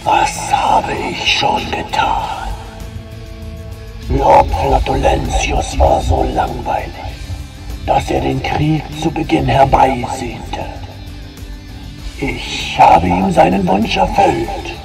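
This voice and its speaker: A man playing a mocking, scheming monster god of corruption in a fantasy audio drama, with a drawn-out, sneering, theatrical delivery. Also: mid-range voice, big pitch swings, gravelly, over music, full character voice. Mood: sly, self-justifying.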